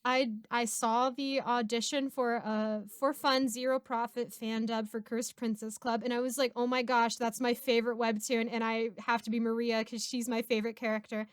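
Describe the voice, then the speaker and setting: A woman's voice: on the high side, level tone, normal speed, hardly any pauses, clean tone, no room sound, clean studio sound. A giggly, chatty woman with an American accent, casually answering fan questions as host. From United States. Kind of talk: casual Q&A chat.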